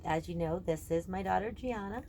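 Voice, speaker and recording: A woman speaking as a teacher introducing a read-aloud for children, with measured, explanatory phrasing. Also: lowish voice, warm tone, a little hiss or hum. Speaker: teacher introducing a guest reader.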